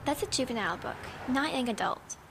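A woman's voice: sits high, expressive, brisk, background noise. A woman playing a bold, pushy ten-year-old girl in a radio horror drama, brusque and confident.

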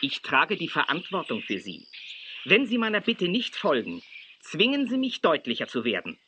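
An actor playing an earnest, authoritative wildlife official in a children's audio drama, formal and insistent. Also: male voice, a little high, huge pitch swings, normal speed, bright, forceful tone, slight background noise, exaggerated voice. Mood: worried, stern.

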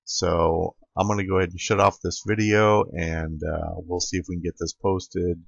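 A man's voice: deep voice, little pitch movement, moderate pace, vocal fry, no room sound. A man narrating an informal screen-capture tutorial as an amateur radio operator, recorded close on a dull computer microphone.

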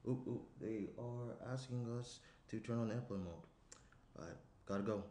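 Guy acting young boyfriend checking in, caring and chatty, lowish voice, echoey room. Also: measured pace, warm tone, a little hiss or hum, lightly acted. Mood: caring, hurried. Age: young adult. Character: caring, chatty fiancé leaving a phone message. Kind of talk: table read acting. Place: small room at a round table. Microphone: shared desk microphone. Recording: roomy indoor echo.

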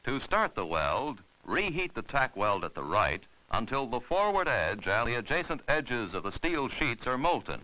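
Male narrator, scripted instructional film style, forceful bright tone, animated intonation, formal step-by-step manner. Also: medium pitch, normal speed, few pauses, rough recording.

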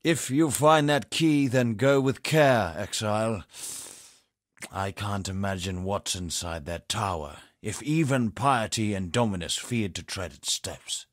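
A grave male poet from a dark fantasy game, voiced by an actor, low in pitch and measured in his warnings. The delivery is solemn and unhurried. It sits close and dry on a studio mic. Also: no room sound, close to an everyday voice. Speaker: game character.

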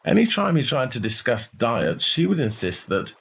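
A man narrating a short story in a measured, expressive way, as in an audiobook. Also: medium pitch, gravelly, breathy edge.

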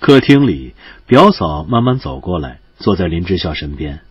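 A man's voice: deep voice, very animated, normal speed, breathy edge, no echo, dull audio. A man giving scripted narration for an audio drama.

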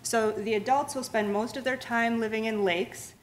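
A woman's voice: fairly high pitch, moderate pace, a little hiss or hum. Woman giving a slide lecture. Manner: steady explanatory pace with frequent restarts and fillers. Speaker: museum lecturer. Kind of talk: public science lecture with slides. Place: lecture room.

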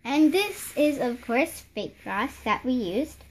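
A woman's voice: high-pitched, expressive, slow pace, a little mellow. A young girl casually showing off a doll house her mom built, rambling with fillers and restarts, filmed close on a phone at home. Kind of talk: casual show-and-tell narration. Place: home room beside a handmade doll house. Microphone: phone camera held close, hands in frame.

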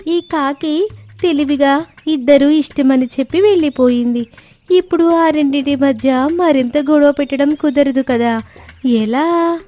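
A woman's voice: high voice, measured pace, dark tone. A woman voicing a nosy, scheming parrot in a children's cartoon story, coaxing and questioning with a show of sympathy. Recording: music bed under the voice.

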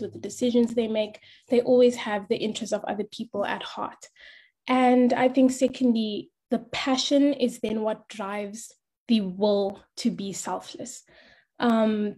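A young woman on a video call, a panelist making structured points in a measured way. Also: a little high, dark tone. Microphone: laptop webcam mic on a video call.

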